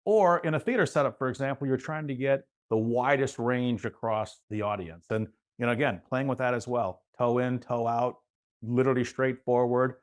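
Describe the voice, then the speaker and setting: Male guest expert, average pitch, informal and loose delivery, doubles back on phrases while giving advice. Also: moderate pace. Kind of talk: casual chat giving practical advice.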